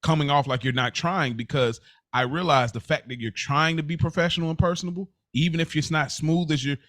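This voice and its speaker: A man's voice: a little high, fairly even intonation, fairly quick, a bit bright, no echo, clean studio sound. An American man co-hosting a podcast, debating casually in a slangy, conversational way and often interjecting. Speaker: podcast co-host. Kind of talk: casual debate between friends.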